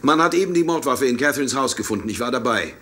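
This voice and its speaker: An actor in a radio play playing a haughty, impatient gentleman, curt, formal and indignant. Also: mid-range voice, animated, fast talker. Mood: irritated.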